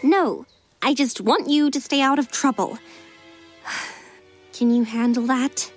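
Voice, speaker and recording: A woman playing a sensible, weary young female pony leader in a fan cartoon, measured and earnest. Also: high voice, faint music behind. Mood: exasperated.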